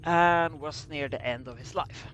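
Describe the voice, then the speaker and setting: A man reading a scripted story aloud as an amateur narrator, halting and stumbling over his words. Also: a little high, fairly bright tone, dull audio, a little hiss or hum. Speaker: amateur fan fiction reader.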